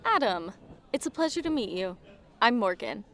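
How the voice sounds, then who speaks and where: Woman playing a forthright, teasing higher-voiced woman. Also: big pitch swings, moderate pace, fairly bright tone. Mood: teasing, casual. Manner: casual, blunt banter. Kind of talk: audio drama acting.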